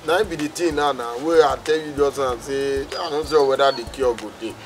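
A man's voice: mid-range voice, fairly quick, loud music behind the voice, natural voice. A man playing a lovesick, self-pitying friend in a drama, pleading with a Nigerian accent in a plaintive, hesitant way. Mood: hesitant, defensive. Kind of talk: comedy drama acting in Nigerian Pidgin.

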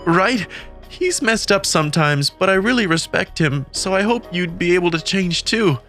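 A man's voice: high-pitched, lively intonation, normal speed, bright, forceful tone, full character voice. A man playing an earnest, kindly teenage boy who narrates and speaks in an English-dubbed manga romcom. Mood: sincere. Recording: music behind the voice.

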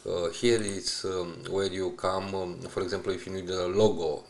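A man who is a non-native English speaker, talking haltingly and searching for words as he narrates an unscripted website walkthrough. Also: sits low, level tone, gravelly. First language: not English. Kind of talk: unscripted screen-recorded walkthrough.

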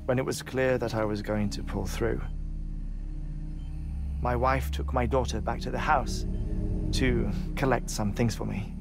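Slow-spoken, grieving man of science. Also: mid-range voice, fairly even intonation, creaky, music in the background. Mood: sombre, grieving. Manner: measured, articulate, pausing between phrases. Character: bespectacled middle-aged scientist, grieving, eccentric, gently melancholy. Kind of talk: cinematic game acting, reflective monologue.

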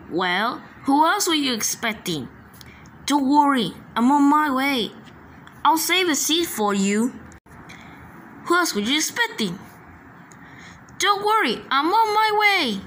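A woman's voice: medium pitch, fairly bright tone. A woman voicing a cheerful, helpful little blue tank engine with an eager, confident manner for a cartoon. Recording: noisy home recording.

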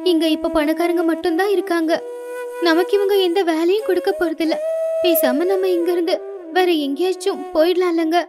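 A woman playing a poor village mother in a storybook cartoon, anxious and pleading, with a high voice. Flute music plays softly behind her. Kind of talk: animated storybook voice acting.

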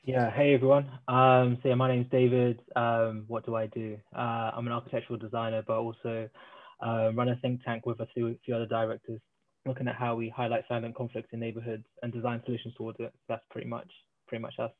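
A man introducing himself on a video call in a relaxed way, his voice trailing off. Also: medium pitch, a little fast. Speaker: architectural designer. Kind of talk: self-introduction. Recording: tinny phone-like call audio.

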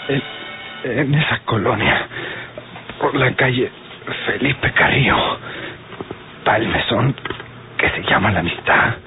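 Casting: man as injured young Mexican gunman, slow labored delivery, breathy and pained, lo-fi vintage radio drama. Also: medium pitch, animated, music in the background, lightly acted. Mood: pained, weak. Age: young adult. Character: badly wounded young gunman, struggling to speak. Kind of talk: old radio serial acting. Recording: muffled, noisy old radio serial recording.

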